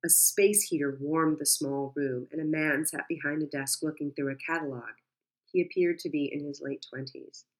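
Low-voiced woman narrating calmly. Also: normal speed, rough recording. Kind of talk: first-person audiobook narration.

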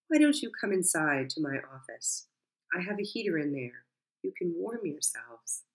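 A woman voicing an excitable middle-aged Bulgarian woman who gushes with admiration. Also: fairly low pitch, normal speed, low-quality recording. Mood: gushing. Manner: gushing, effusive.

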